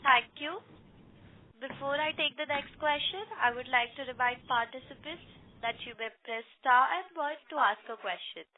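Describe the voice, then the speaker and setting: A woman's voice: sits high, moderate pace. A woman making formal scripted announcements as a conference call operator. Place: conference call over phone line. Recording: thin phone line, faint noise.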